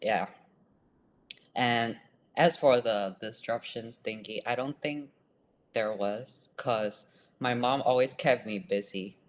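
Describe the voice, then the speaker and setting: A man's voice: medium pitch, slow pace, long pauses, muffled recording. A young man with a Burmese accent answering as a student guest in a casual podcast interview, self-deprecating and hesitant. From Myanmar. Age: young adult.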